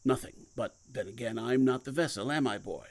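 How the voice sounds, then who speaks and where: A man playing a secretive, commanding, guilt-burdened mentor in an audio drama, speaking deliberately and cryptically. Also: medium pitch, close to an everyday voice. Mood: cryptic, assured. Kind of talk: audio fiction podcast acting.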